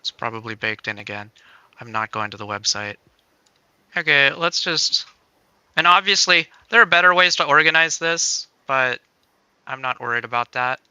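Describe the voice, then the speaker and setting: A man with a very bright, clear voice and a middling pitch. He talks casually as a developer co-hosting a live software demo. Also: expressive, normal speed, no room sound. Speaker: game developer co-hosting a livestream. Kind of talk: casual demo livestream chat.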